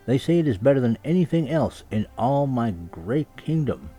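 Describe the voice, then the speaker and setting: A man's voice: medium pitch, sing-song, moderate pace, mellow, breathy edge, soft background music, voice pushed up. A man playing a pompous, demanding emperor. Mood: indignant. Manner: pompous, insistent. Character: imperious, demanding emperor, easily affronted.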